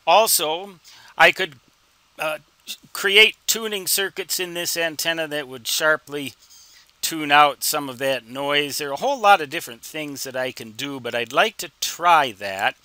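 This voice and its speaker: A man explaining things as an instructor in an informal tutorial, with deliberate phrasing and wry, folksy humor. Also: fairly high pitch, bright, forceful tone, dry recording. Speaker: instructor answering reader questions.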